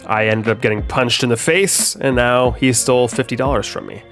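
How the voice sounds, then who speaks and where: A man's voice: medium pitch, moderate pace. Game dev doing his own play-through commentary; nasal from a cold, easygoing, lots of filler words, mid-range voice, light music bed. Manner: relaxed, explanatory, many ums and uhs. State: stuffed up from a head cold. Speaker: game developer. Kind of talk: gameplay commentary.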